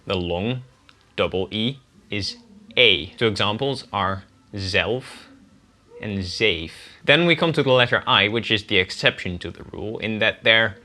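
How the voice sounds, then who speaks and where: A young man whose first language is Dutch gives a clear, methodical pronunciation lesson in English. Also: lowish voice, bright, forceful tone. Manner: clear, methodical explaining with spelled-out examples. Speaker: guest language teacher.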